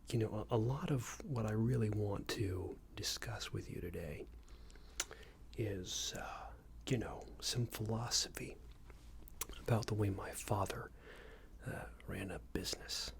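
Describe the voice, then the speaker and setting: A man playing a smarmy, passive-aggressive company boss in a soft-spoken ASMR roleplay, hesitant and condescending, often half-whispered. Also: fairly low pitch. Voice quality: breathy, half-whispered, creaky. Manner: hesitant, hedging, condescending, rambling corporate small talk.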